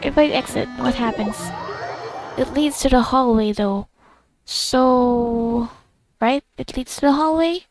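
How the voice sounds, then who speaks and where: A woman gives casual gameplay commentary over soft game music. Her voice is slightly high with plenty of fry, and she muses slowly, often trailing off. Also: low-quality recording. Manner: musing aloud, trailing off, reads game text aloud. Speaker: game streamer.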